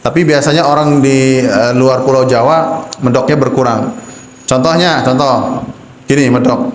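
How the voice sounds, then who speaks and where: A man's voice: medium pitch, steady tone, unhurried. A Javanese man teaching Quran recitation to imams and preachers in a mosque, explaining patiently with rhetorical questions. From Java, Indonesia. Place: mosque hall. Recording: echoey mosque hall, faint background hum.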